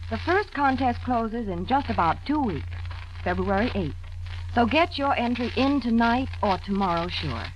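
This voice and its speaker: A woman's voice: fairly high pitch, lively intonation, moderate pace. An American woman reading advertising copy in 1940s radio announcing style. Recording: dull, faintly noisy old radio recording.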